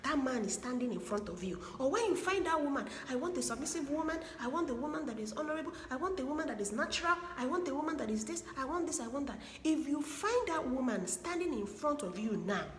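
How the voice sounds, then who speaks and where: Emphatic woman in an echoey room. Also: sits high. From Igbo land, Nigeria. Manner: emphatic, preachy, repeats points for stress. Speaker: relationship advice speaker. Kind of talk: earnest advice monologue. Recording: echoey room with long reverb and faint background hiss.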